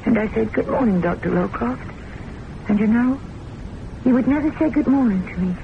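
An actress playing a weary, lovelorn secretary in an old-time radio drama, intense and wistful in manner. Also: female voice, on the high side, expressive, normal speed, creaky. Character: weary, lovelorn confidential secretary, a distrustful woman patient. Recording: muffled, noisy old radio recording.

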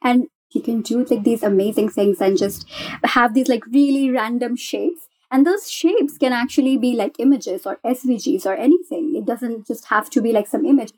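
Woman, fairly high voice, gushing casual explainer, developer guest. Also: normal speed, darkish tone, poor audio. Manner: enthusiastic, gushing.

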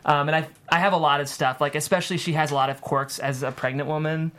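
A man's voice: a little high, fairly even intonation, quick pace, fairly bright tone. A man being interviewed as a screenwriter, explaining things thoughtfully in casual talk peppered with "like".

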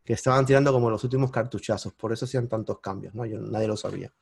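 A man with a Cuban accent, a podcast guest telling his story in lively casual conversation and bursting into high exclamations at the big moments. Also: very fast, vocal fry, slightly rough, dry recording, studio quality. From Cuba. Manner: animated, jumps into high-pitched exclamations when retelling moments.

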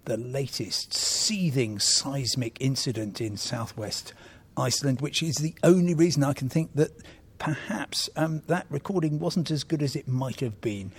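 A man's voice: medium pitch, a little slow, slightly rough. A man hosting a podcast, chatty with wry asides. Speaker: podcast host. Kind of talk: conversational interviewing and linking.

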